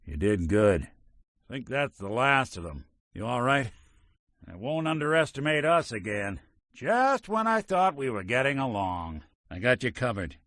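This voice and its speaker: A man's voice: medium pitch, big pitch swings, unhurried, fairly bright tone, no room sound. An actor voicing a world-weary male robot detective in a game, talking in dry, hard-boiled wisecracks. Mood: steady. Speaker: game companion character. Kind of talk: video game voice acting.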